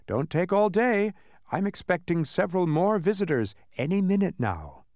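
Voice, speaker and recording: A man's voice: fairly high pitch, expressive, a little fast, smooth, no echo, studio quality. A man voicing an officious, slyly agreeable little census taker for a storybook. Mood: gaily chiding. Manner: very official, chuckling, sneering. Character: little officious census taker, agreeable, sly, pedantic old-world bureaucrat.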